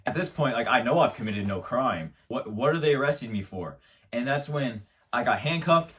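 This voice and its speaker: A man's voice: mid-range voice, lively intonation, normal speed, fairly bright tone, distant mic. A man hosting his own show, telling an absurd comic story in a rambling, mock-serious way, stumbling over restarts. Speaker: show host. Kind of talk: absurd comedic monologue.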